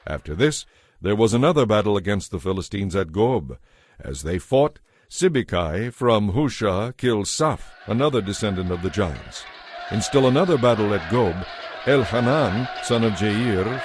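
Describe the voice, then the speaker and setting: A man's voice: fairly low pitch, a little hiss or hum. A man narrating a dramatized audio Bible from a script.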